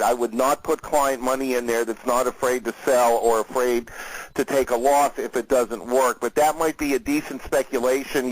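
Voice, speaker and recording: Male market analyst hosting a call; mid-pitched, casual and steady, dry recording. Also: dry recording, rough recording. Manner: conversational, steady, with fillers.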